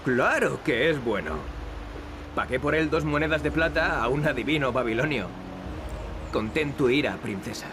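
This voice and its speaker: A man's voice: medium pitch, normal speed, background noise, lightly acted. An actor with an accent from Spain playing a cocky, sarcastic young male adventurer in a wry, flippant way for a video game. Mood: wry, composed. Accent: Spanish from Spain. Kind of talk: dubbed adventure game dialogue. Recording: loud music behind the voice.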